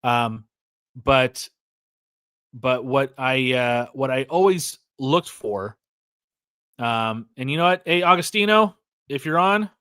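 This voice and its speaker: A man's voice: mid-range voice, expressive, slow, long pauses, a bit bright, smooth, no room sound, clean studio sound. An American man in his forties hosting a live podcast stream, chatting casually, rambling with fillers and restarts while reading out viewer comments. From California, USA. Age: 40s. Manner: rambling, lots of fillers and restarts, reads chat aloud. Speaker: podcast host. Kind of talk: casual livestream chat.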